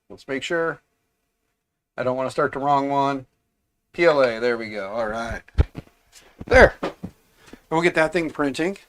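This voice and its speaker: A man hosting a casual livestream, congested and raspier than usual, rambling and repeating himself as he talks to his chat. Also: mid-range voice. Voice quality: raspy, congested. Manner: mild swearing, offhand. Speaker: livestream host.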